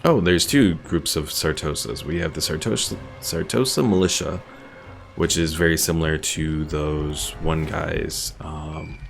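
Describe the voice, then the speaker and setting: A man with a low, heavily creaky voice gives casual commentary on a game he is streaming. He muses aloud in an unscripted way, with faint music behind him. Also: unhurried. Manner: musing, thinking aloud.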